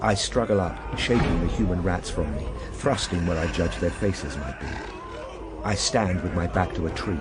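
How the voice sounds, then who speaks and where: A man's voice: deep voice, normal speed, natural voice. A man playing a time traveler recounting his ordeal in solemn, literary radio drama narration. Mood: urgent. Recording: radio drama over loud background ambience.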